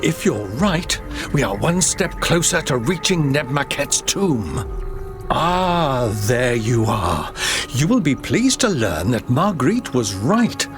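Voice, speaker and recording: Brief: a formal, courteous old professor, lively melodic delivery. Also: male voice, mid-range voice, slow. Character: courteous older male professor, scholarly and commanding. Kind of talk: game cutscene voice acting. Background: game music.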